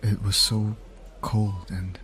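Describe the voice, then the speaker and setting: A man playing a tender, apologetic medic at a bedside, soft-spoken and hesitant, in an ASMR roleplay. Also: on the low side, little pitch movement, slow, soft background music. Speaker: voice actor in an audio roleplay. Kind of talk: intimate ASMR comfort roleplay.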